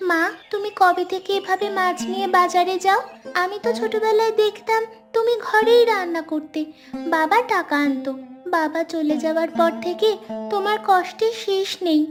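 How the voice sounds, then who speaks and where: A woman's voice: very high-pitched, moderate pace. A woman voicing an innocent, devoted poor little village girl in a melodramatic cartoon, with a childlike, earnest, pleading way of talking. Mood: concerned. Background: loud music.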